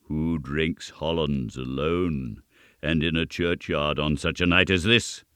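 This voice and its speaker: A man voicing a stern, mocking old goblin in a storybook reading, deep and deliberate, with sneering questions. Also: steady tone, fairly bright tone, smooth, dry recording, clean studio sound, full character voice. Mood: stern, menacing.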